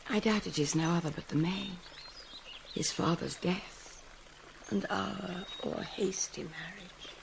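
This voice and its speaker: An actress playing a gracious, anxious middle-aged queen, speaking in a measured, courtly classical Shakespearean manner. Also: fairly low pitch, vocal fry, a little hiss or hum. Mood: troubled. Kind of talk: classical Shakespearean drama acting.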